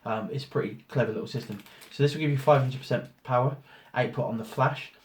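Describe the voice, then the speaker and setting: Hoarse guy with a cold doing an unboxing, lots of ums, mid pitch, echoey room. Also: fast talker. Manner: rambling, many ums and restarts. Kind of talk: casual product walkthrough. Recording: roomy echo, faint noise.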